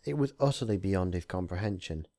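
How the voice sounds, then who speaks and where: A man who hosts readings of fan fiction, talking casually and narrating with theatrical, deliberate phrasing. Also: low voice, expressive, mellow, no echo, studio quality. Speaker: fan fiction reader, channel host. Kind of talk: casual intro, then narrated story reading.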